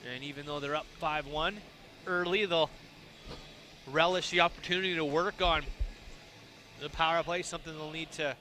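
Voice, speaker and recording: A man giving steady, analytical color commentary on a lacrosse game. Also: fairly high pitch, moderate pace, long pauses. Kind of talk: sports analysis.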